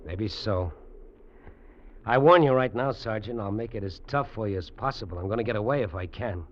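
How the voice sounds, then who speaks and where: A man's voice: fairly low pitch, fairly quick, natural voice. An actor playing a lonely fugitive man longing for his wife, speaking earnestly and wistfully, in 1940s radio drama style. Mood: defiant. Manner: earnest, wistful and soft-spoken. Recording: dull old radio recording, faint hiss.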